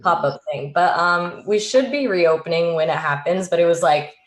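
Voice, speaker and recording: A woman with a notably low voice chats informally as one of a group of actors. Her speech is loose and peppered with "like". The room around her rings with echo, as on a home video call. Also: moderate pace. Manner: informal, frequent "like". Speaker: stage actress. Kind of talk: casual group chat.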